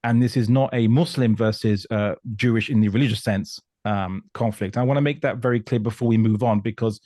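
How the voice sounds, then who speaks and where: A man from Portugal speaking as a guest commentator in an explanatory discussion, emphatic and halting, often repeating words. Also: lowish voice, warm tone, dry recording, studio quality. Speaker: guest commentator on religion and politics.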